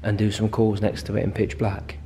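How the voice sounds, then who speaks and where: A young man vlogging a walk through a dark abandoned building, chatty, jokey and easily startled, often dropping to a hush. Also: fairly low pitch, completely flat delivery, fairly quick. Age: young adult. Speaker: ghost-hunting vlogger. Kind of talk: casual exploration vlog. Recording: echoey rooms, background noise.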